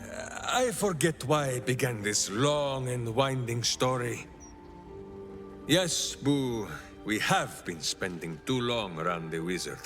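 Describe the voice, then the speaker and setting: A hearty video game warrior who rambles earnestly in grand, old-fashioned phrases. His medium-pitched voice rises and falls expressively, with soft music underneath. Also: expressive, slow pace. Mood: sheepish. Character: hearty, rambling male warrior, earnest storyteller from far land. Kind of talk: dramatic video game dialogue.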